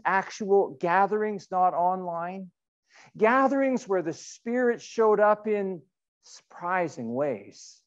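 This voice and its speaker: A middle-aged man, a pastor, giving a sermon in an earnest, deliberate way with rhetorical questions and repetition. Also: high-pitched, darkish tone, highs cut off. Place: indoors in a church sanctuary.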